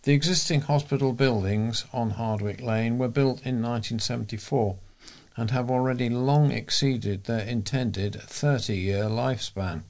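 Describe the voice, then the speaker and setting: A man's voice: medium pitch, a little slow, a little mellow. A man reading local news articles aloud as a volunteer reader, in a formal delivery.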